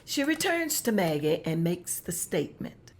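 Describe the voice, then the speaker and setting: A woman's voice: fairly low pitch, very animated, moderate pace, a little hiss or hum. A woman narrating stage directions in a table read, plain and matter-of-fact.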